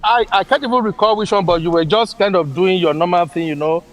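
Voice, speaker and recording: A man's voice: high voice, quick pace. A man with a Nigerian accent making emphatic points in a casual chat, laughing easily.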